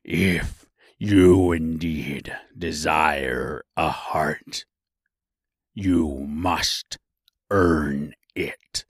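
Huge roaring beast, deep and slow. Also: male voice, no echo, clean studio sound, full character voice. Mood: gruff, demanding. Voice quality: growling, gruff roar. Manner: slow, drawn-out, commanding. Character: huge terrible male beast, imperious and menacing.

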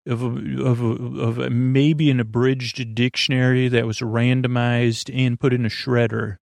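A man's voice: mid-range voice, flat delivery, measured pace, vocal fry, darkish tone, no room sound, studio quality. A man hosting a sleep podcast, rambling through a meandering monologue full of restarts and tangents. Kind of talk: rambling late-night monologue.

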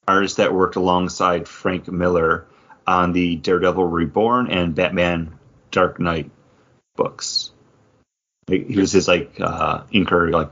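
This is a man in a casual podcast conversation as co-host. His voice is deep with a rough, husky grain. The audio is somewhat dull and lo-fi. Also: fairly bright tone. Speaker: podcast co-host reviewing comics. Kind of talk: casual chat.

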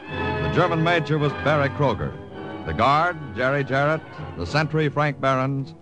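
A man's voice: mid-range voice, rough recording. A man with an American accent reading a script formally and dramatically as a vintage radio announcer. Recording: vintage radio broadcast with music behind.

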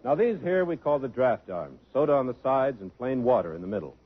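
A man's voice: medium pitch, sing-song, a little fast, slightly rough. An actor playing an earnest young man in love in a 1950s radio drama. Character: young earnest soda jerk, the sweetheart. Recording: thin tinny old radio broadcast.

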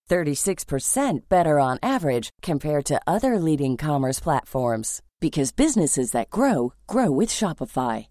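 A woman's voice: lowish voice, darkish tone, dry recording, clean studio sound. A woman reading a scripted commercial in an upbeat, polished way. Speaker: ad voice-over.

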